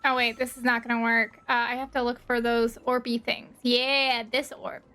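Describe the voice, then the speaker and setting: A woman's voice: on the high side, little pitch movement, normal speed, pushed and bright. A woman livestreamer giving casual, playful game commentary, thinking aloud, with slight noise behind her. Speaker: livestreaming gamer.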